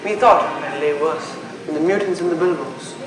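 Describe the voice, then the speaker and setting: A man's voice: fairly high pitch, moderate pace, close to an everyday voice. A young man playing a brooding, passionate prince in a school stage Shakespeare play, with theatrical declamation. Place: indoor stage in a hall. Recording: very echoey hall, loud music behind.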